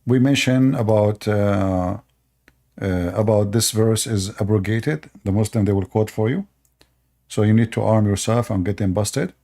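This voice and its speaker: A man whose first language is Arabic hosts a live call-in debate, repeating himself and speaking haltingly while he addresses his viewers. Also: on the low side, warm tone. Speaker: show host.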